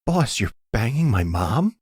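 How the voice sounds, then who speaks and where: A man's voice: medium pitch, very animated, unhurried, a little mellow, slightly rough, no room sound, studio quality, voice pushed up. A man voicing a hot-headed, whiny young man in mob trouble for an audio roleplay, defiant and stammering when rattled. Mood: indignant, angry.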